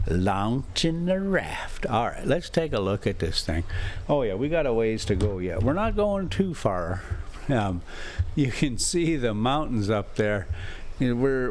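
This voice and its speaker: A man hosting a casual travel vlog, rambling in a folksy, halting, thinking-out-loud way as he chats and reads aloud. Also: medium pitch, slight background noise. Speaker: RV travel vlogger and host.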